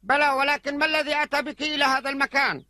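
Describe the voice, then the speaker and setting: A man playing an excitable, curious little dwarf in a dubbed cartoon, animated and exclaiming. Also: very high voice, racing, no echo, full character voice. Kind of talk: dubbed cartoon character acting.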